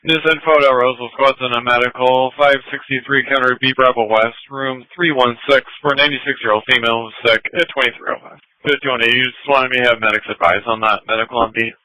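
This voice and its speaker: A man dispatches police calls over the radio at a quick pace. His mid-pitched voice is extremely bright and cutting, and his wording is procedural. The sound is dry and close. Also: steady tone, no echo, poor audio. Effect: police radio transmission.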